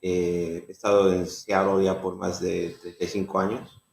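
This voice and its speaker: A middle-aged man speaks as a guest on a radio talk show. His voice sits low and he fills pauses often, and the room around him is echoey. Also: level tone, gravelly, slight background noise. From Bolivia. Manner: halting, many fillers. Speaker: real estate agent and guest.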